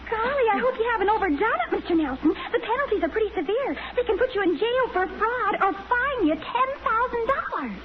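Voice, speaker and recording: An effusive teenage girl from a 1940s radio comedy, extremely high in pitch. She declaims earnestly like a know-it-all, on a dull old broadcast recording with an audience. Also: expressive, a little fast, hardly any pauses, a loud crowd. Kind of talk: 1940s radio sitcom acting.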